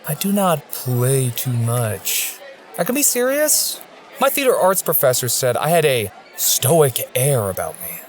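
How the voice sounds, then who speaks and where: A man's voice: medium pitch, sing-song, unhurried. A man playing a cocky, defensive young college jokester in an audio drama, with slangy, indignant delivery. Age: young adult.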